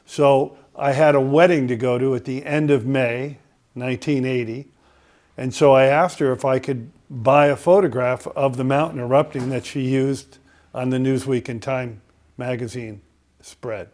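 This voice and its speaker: Give me an older man as a podcast guest, telling a story in a loose, halting way at a middling pitch. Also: fairly even intonation, darkish tone. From Connecticut, USA. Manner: rambling storyteller with frequent "uh" fillers and restarts.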